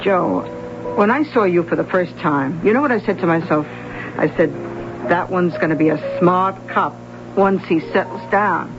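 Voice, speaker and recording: A woman's voice: lowish voice, normal speed, lightly acted. An actress playing a shrewd, motherly older woman who teases a young detective in a 1970s radio drama. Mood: teasing. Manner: teasing, wise, streetwise. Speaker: confidante to a detective. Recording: dull lo-fi old radio broadcast with music.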